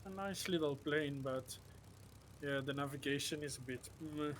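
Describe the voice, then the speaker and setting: A man's voice: on the high side, normal speed, slight background noise. A man hosting a casual flight simulator livestream, chatting with other players and thinking aloud in a hesitant way.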